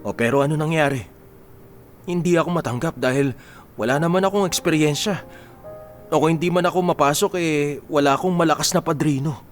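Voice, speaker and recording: A man's voice: fairly high pitch, brisk, pauses often, soft background music, close to an everyday voice. A man acting in a Filipino radio drama as a poor, stubborn husband who justifies himself in a defensive, dismissive way. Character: stubborn, self-justifying poor husband, unrepentant explosives seller.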